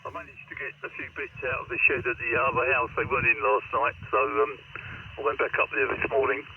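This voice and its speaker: A man's voice: medium pitch, huge pitch swings, quick pace, gravelly. A middle-aged or older man, heard over a two-way radio, chatting casually in a rambling, hesitant way. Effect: heard over a two-way radio link. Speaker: amateur radio operator. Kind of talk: casual radio contact chat. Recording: muffled radio link, faint noise.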